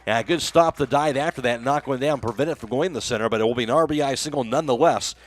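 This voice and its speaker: A man's voice: medium pitch, fast. A man doing live play-by-play baseball commentary for a radio broadcast. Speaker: play-by-play announcer.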